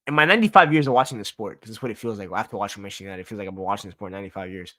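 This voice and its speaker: A man's voice: fairly low pitch, very fast, a bit bright. A young man hosting his own sports talk show, venting in a casual, exasperated rant full of repeats and swearing.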